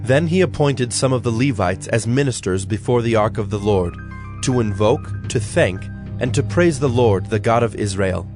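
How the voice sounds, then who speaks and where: Brief: male narrator, mid-pitched, solemn and measured dramatized scripture reading, clear music bed. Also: animated.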